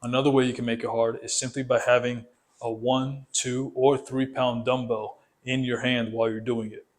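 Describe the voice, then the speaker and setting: Even-toned male boxing coach explaining drills. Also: medium pitch, normal speed. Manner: methodical, demonstrating step by step. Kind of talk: instructional workout explanation.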